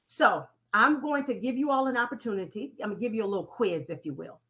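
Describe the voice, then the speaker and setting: A woman leading an interactive training talk in a deliberate, teacherly way, putting questions to her audience and repeating key phrases. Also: fairly high pitch, fairly bright tone. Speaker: presenter leading a training session. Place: online conference call with slides.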